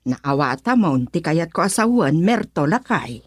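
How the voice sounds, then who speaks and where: A woman's voice: low voice, very animated, a little slow, music in the background. A woman playing a worried middle-aged wife and mother in a radio drama, serious and subdued, with an Ilocano accent. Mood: embarrassed, serious.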